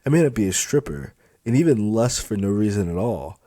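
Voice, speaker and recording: A man's voice: fairly low pitch, lively intonation, a little fast, warm tone, no echo. A man voicing a defiant young female job applicant in an audiobook, indignant, blunt and challenging. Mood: angry. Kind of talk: dramatised audiobook dialogue.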